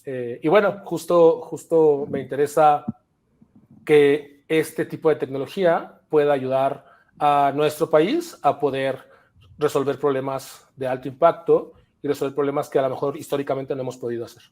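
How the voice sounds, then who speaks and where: A man's voice: fairly high pitch, fairly even intonation, moderate pace, a little mellow. A man from Mexico City with a Mexican Spanish accent, talking as a podcast guest in a thoughtful way with frequent fillers. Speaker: podcast guest, chapter leader. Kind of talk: casual conversation.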